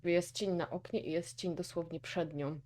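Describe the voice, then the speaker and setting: Polish female reaction host, low mellow voice, casual and musing, thinking out loud as she watches. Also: fairly even intonation, moderate pace. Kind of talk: casual reaction commentary on a horror video.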